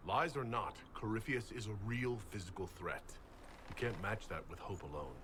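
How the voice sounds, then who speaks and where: An actor playing a weary, doubting horned giant warrior leader in a fantasy game, subdued and serious. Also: male voice, fairly low pitch, expressive, normal speed, background noise, close to an everyday voice. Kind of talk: scripted fantasy game dialogue.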